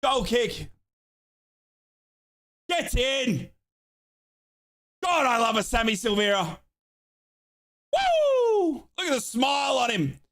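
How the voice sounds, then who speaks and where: A man hosting a live fan watchalong, calling a football match in excitable play-by-play and chanting. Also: very high-pitched, slow, long pauses. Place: home office.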